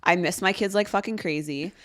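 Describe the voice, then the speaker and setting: A raspy, hoarse woman with a somewhat low voice chats loosely as a podcast co-host. Her talk wanders and is full of fillers. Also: brisk, a bit bright. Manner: chatty, loose, peppered with "like". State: hoarse from a lingering illness. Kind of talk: casual chat.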